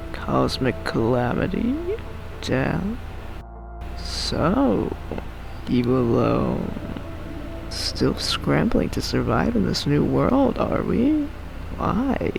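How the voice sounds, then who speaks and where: A man's voice: on the high side, creaky, noisy background, exaggerated voice. A man voicing a smug, ominous prophet of doom from a game, with probing, menacing questions. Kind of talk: amateur game character voicing. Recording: music under the voice.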